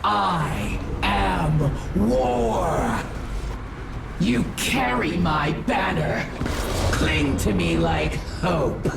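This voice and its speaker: A menacing war goddess from a video game, speaks with seductive, commanding weight. Her delivery is very slow and deeply gravelly, echoing beneath loud music. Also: lively intonation, heavily acted. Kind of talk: video game villain voice acting.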